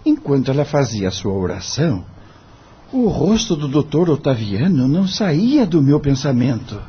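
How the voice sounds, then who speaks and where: A dull, lo-fi radio drama recording of an earnest young lawyer. He speaks with courteous deference and a slightly husky voice that moves expressively up and down. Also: medium pitch, sing-song, moderate pace, exaggerated voice. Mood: thoughtful, earnest. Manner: polite, deferential.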